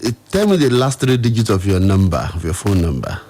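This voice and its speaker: Low-voiced Nigerian man hosting radio. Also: big pitch swings, brisk, a little mellow, breathy edge. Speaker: radio host. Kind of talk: live radio phone-in hosting. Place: radio studio.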